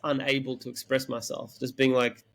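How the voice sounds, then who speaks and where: A young man from Serbia, whose first language is Serbian, speaking as an interview guest. He tells personal stories in a measured, candid way, with occasional stammering restarts. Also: mid-range voice, flat delivery, a bit bright. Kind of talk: reflective personal storytelling.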